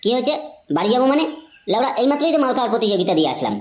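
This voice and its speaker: A woman's voice: a little high, big pitch swings, rapid-fire. A woman voicing a brash, cocky young male drunkard in a comedy cartoon, blustering and whining. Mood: indignant. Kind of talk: Bengali comedy cartoon dubbing. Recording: echoey, clear background noise.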